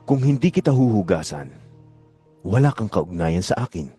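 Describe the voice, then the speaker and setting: Guy playing a solemn male teacher in a bible audio drama, low mellow voice, lots of rise and fall, earnest. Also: sing-song, moderate pace, breathy edge, no echo, soft background music. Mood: calm, firm.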